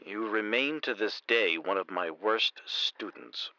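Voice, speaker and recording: A man's voice: fairly low pitch, measured pace, bright, forceful tone, dry recording, dull audio. An actor playing a pompous, easily flustered stage magician in his sixties, a former English teacher, in a comedy audio drama. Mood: wounded. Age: 60s.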